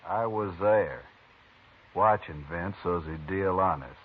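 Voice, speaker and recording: A man's voice: low-pitched, takes it slowly, slightly rough, full character voice. An actor playing a stubborn, vengeful male frontiersman in a 1950s radio drama, blunt and threatening. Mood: menacing. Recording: tinny old radio broadcast with hiss.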